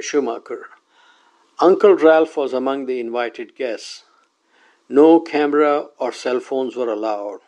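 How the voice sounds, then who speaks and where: A man's voice: mid-range voice, creaky, a little mellow. A man presenting a scripted news roundup, lifting his voice at each new item. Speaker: segment presenter.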